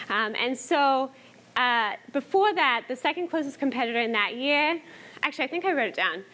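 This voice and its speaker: A woman's voice: high voice, a bit bright. A young woman giving a conference talk on machine learning, conversational with frequent fillers. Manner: conversational, many fillers, laughs lightly. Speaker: conference speaker. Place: conference hall.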